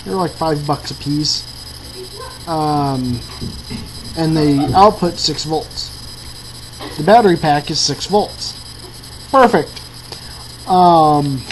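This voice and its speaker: A woman giving a casual, improvised walkthrough of a hobby project, hesitant and thinking aloud. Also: low-pitched. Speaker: hobbyist maker showing an electronics project. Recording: clearly noisy with steady mains hum.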